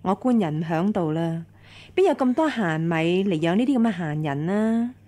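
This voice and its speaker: Stern, imperious mistress of the house. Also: female voice, lowish voice, animated, normal speed, mellow. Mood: cold, dismissive. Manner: commanding, curt, dismissive. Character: stern, imperious first wife of a wealthy household. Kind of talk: radio drama acting.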